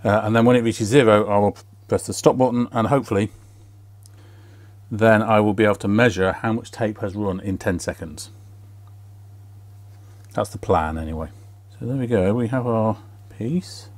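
A middle-aged man with a fairly low voice hosts a casual repair walkthrough. He explains each step methodically while working at a bench indoors. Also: moderate pace, pauses often. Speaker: repair video host.

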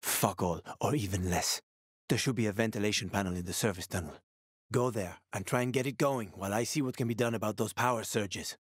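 A man's voice: on the low side, brisk, breathy edge, dry recording, studio quality. An actor playing a wry, streetwise male survivor and former cab driver in a video game, colloquial and sweary, with an urgent manner. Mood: tense, focused. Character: wry, streetwise male ex-cabbie survivor, fatherly yet shifty. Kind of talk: video game character dialogue.

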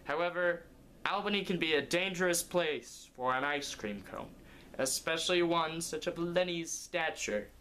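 A man's voice: fairly high pitch, lively intonation, normal speed, bright, forceful tone, a little hiss or hum. A young man improvising a comic story as its narrator, recorded indoors in a living room. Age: young adult.

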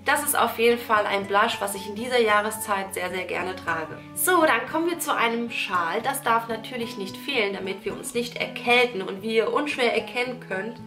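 A woman's voice: a little high, normal speed, few pauses. Chatty young woman with a stuffy nose. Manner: chatty, gushing, addresses viewers as girls. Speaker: beauty vlogger. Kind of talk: casual product-recommendation vlog. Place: small indoor room. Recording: echoey room with music behind.

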